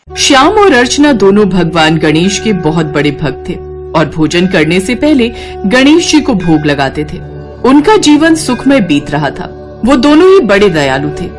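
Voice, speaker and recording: A woman narrates a devotional village tale in an expressive storytelling style. Her mid-pitched voice moves with lively intonation, and music plays behind her.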